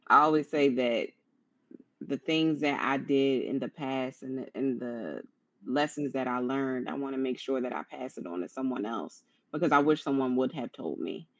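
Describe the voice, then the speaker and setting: A woman with a low-pitched voice hosting a conversational interview in an encouraging, affirming manner. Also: no echo. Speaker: interview host. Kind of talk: conversational live-streamed interview. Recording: dull lo-fi online stream audio.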